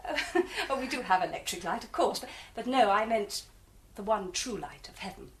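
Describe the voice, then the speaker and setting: An actress playing a brisk, strong-willed English businesswoman in a radio drama, with a British accent and a bossy, precise, zealous manner. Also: medium pitch, recorded from a distance, lightly acted. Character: brisk, strong-willed, high-principled English woman office proprietor. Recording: roomy echo, faint noise.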